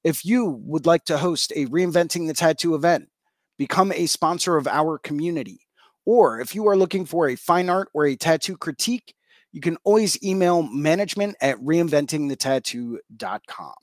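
An upbeat man hosts an interview show, reading sponsor shout-outs and welcoming his guest with plenty of ums and uhs. His voice sits a bit high for a man. The sound is dry and close, with no room echo. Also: lively intonation, normal speed. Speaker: podcast host.

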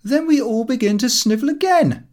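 A man's voice: very high voice, animated, moderate pace, darkish tone, voice pushed up. A man voicing a scornful, mocking young son in an audiobook story, declaiming with open disdain. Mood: scornful ranting.

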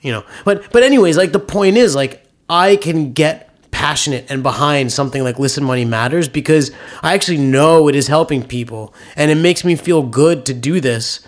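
A man's voice: on the high side, normal speed, few pauses. A man with a nasal voice co-hosting a podcast, explaining finances in an enthusiastic, opinionated casual way. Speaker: podcast co-host, author.

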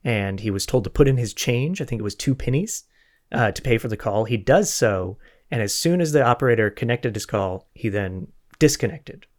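A man's voice: lowish voice, lively intonation, moderate pace. A man hosting a podcast, retelling a historical mystery in an informal, conversational way with joking asides and self-corrections. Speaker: podcast host telling a true crime case.

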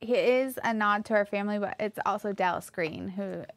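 A soft-spoken woman co-hosting a casual chat for a coffee company. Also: mid-range voice, normal speed. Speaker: coffee company staff co-host.

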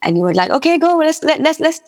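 A woman from Mauritius, a native speaker of French and Creole, telling personal stories as a guest musician in a halting, conversational way, often repeating her words. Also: high voice, very animated, a little mellow. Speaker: guest musician and singer-songwriter. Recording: long reverberant hall sound.

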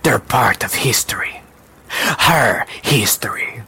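Storybook servant woman, played by a man: hushed, breathy, slow, resolute, with gentle menace. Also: expressive, heavily acted. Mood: low, resolute. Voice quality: whispery, breathy. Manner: slow, gentle, low-toned.

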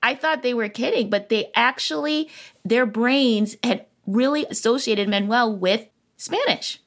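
A woman in her forties hosting a casual conversation, enthusiastic and animated as she tells stories. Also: on the high side, unhurried. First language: English. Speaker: podcast host.

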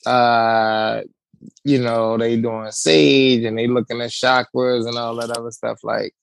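A man's voice: mid-range voice, a little slow, vocal fry, no echo. A man in his forties in casual podcast chat, telling joking stories and acting out what people said. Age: 40s. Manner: animated, joking storyteller who acts out quotes. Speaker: podcast co-host.

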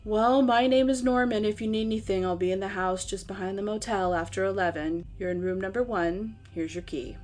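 A woman acts out a bored male motel clerk in a comic bedtime story. He is irritated and flatly businesslike. Music plays softly behind the voice. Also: mid-range voice, fairly quick, few pauses, lightly acted. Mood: bored, annoyed.

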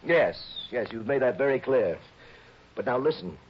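An actor playing an anxious, cornered stockbroker on a 1960s radio drama, speaking in a nervous, pleading way. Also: male voice, medium pitch, lively intonation, normal speed, a little hiss or hum, close to an everyday voice. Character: anxious cornered male stockbroker, middle-aged. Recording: dull old radio broadcast.

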